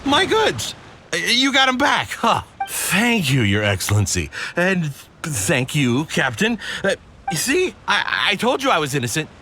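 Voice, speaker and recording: A man's voice: high voice, huge pitch swings, a little slow, sharp tone, exaggerated voice. A man voicing a boastful merchant who talks in a brash, whiny, protesting way. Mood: relieved. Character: boastful male merchant, cocky then flustered. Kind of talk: video game voice acting. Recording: faint game music behind.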